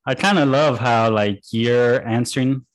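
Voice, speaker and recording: A man hosting a casual interview, asking his questions in an eager, relaxed way. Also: medium pitch, a little slow. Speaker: interview host.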